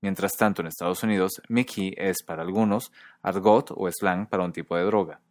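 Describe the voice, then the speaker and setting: A man hosting a podcast who explains things in a measured, scripted narration. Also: deep voice, steady tone, no echo, studio quality. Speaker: podcast host.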